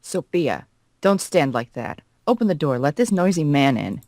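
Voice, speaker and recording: A woman's voice: low voice, big pitch swings, normal speed, dark tone, no echo, pitched below the performer's own voice. A woman voicing a stern, commanding stepmother with a coolly formal manner in a visual novel. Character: stern, imperious stepmother, a lady of the house. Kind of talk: visual novel read-aloud.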